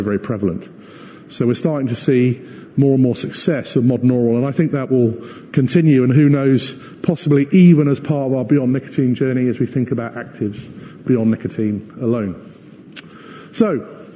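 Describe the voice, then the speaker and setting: A man giving a corporate presentation as a company executive, explaining and arguing his points in a measured way. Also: medium pitch, warm tone. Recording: muffled echoey hall recording.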